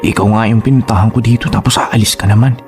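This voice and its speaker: A man's voice: lowish voice, fairly even intonation, quick pace, darkish tone, close to an everyday voice. A man with a Filipino accent playing a resentful young suitor in a radio drama, pushy and accusing. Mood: hurt, accusing. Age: young adult. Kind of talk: Tagalog radio drama acting. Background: music.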